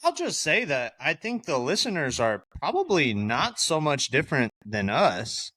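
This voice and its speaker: A man's voice: fairly high pitch, huge pitch swings, normal speed, sharp tone, no echo, studio quality. A young adult man co-hosting a podcast in casual chat, teasing and joking with boastful banter. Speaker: podcast co-host.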